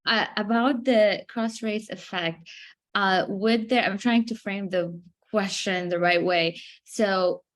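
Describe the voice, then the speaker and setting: A woman's voice: mid-range voice, slow. A woman from Syria asks a question during a medical seminar. She picks her words carefully, stopping and restarting as she tries to frame her point. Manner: searching for words, hesitant, restarts. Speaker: resident asking a question.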